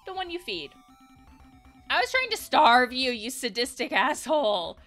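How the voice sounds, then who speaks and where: A woman's voice: sits high, big pitch swings, a little slow, fairly bright tone, soft background music, full character voice. A woman voicing a stressed, angry young human from a game, exasperated and emphatic. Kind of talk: game dialogue read aloud.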